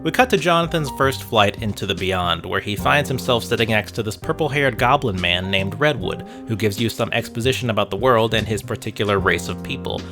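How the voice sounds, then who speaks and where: A man's voice: lowish voice, expressive, brisk, few pauses. A man narrating a scripted video essay in a dry, wry manner. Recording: music bed behind voice. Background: background music with guitar.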